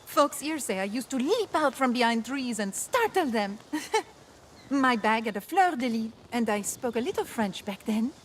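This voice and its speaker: A woman's voice: high voice, a bit bright, slightly rough, exaggerated voice. A woman voicing a feisty, playful young woman in a video game, speaking theatrically and mischievously. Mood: amused, reminiscing. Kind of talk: video game character dialogue.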